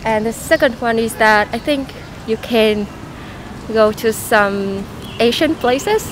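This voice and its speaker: A young woman student gives casual recommendations in a halting way, searching for her words. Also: fairly high pitch. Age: young adult. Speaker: university student, interviewee. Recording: outdoor street noise with traffic.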